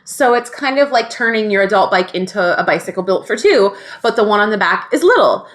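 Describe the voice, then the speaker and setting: A woman's voice: medium pitch, expressive, fairly quick, a bit bright. A woman in her thirties, a podcast co-host, chatting casually and telling a story with lots of "like" fillers and easy laughs. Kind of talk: casual chat.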